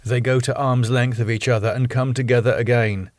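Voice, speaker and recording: A man narrates a sitcom script in a mid-range voice. His phrasing is measured, deliberate and a little dramatic. The sound is dry, with almost no room. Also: mid-range voice, steady tone, dry recording.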